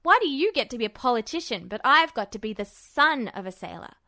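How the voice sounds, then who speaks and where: A woman's voice: fairly high pitch, big pitch swings, fairly quick, pushed and bright, exaggerated voice. A woman voicing a brash, defensive young man who talks bluntly and informally in an audio story. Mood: indignant.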